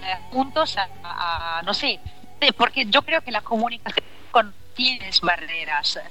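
A woman's voice: mid-range voice, lively intonation, unhurried, bright, forceful tone. An Italian-born woman with an Italian accent, talking as a singer in a radio interview, hesitant and searching for words. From Italy. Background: faint background music.

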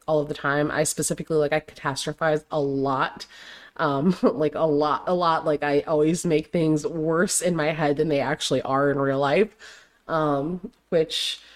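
A young adult woman hosting a casual solo podcast, talking loosely with lots of fillers, her voice going a little raspy. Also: sits low, steady tone, moderate pace. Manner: rambling, heavy on fillers and "like". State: slightly raspy voice. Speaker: podcast host.

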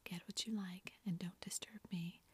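A woman whispering the part of a relaxed, offhand middle-aged mother in a storybook reading. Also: on the low side, normal speed, husky. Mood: breezy.

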